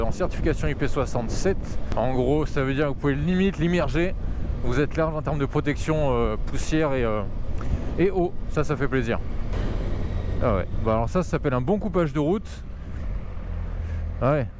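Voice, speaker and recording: A man with a slightly high-pitched voice talks quickly and informally, as in a casual motovlog review. He uses slang and the odd swear word. He speaks into a helmet intercom mic outdoors, with clear road and vehicle noise behind him. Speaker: motorcycle vlogger reviewing gear. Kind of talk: casual spoken review while riding. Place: outdoors, riding a motorcycle in traffic. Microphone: helmet intercom microphone.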